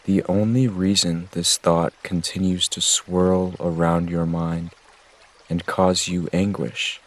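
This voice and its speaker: Slow, low-voiced man guiding meditation. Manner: gentle, measured, soothing instruction. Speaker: meditation guide. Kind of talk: guided meditation narration.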